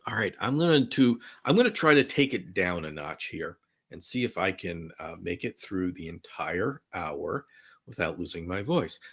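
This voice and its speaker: A man hosting a live question-and-answer stream, talking enthusiastically and rambling with many restarts, his voice going hoarse. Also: low-pitched, very animated, normal speed, a bit bright. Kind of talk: live Q&A chat.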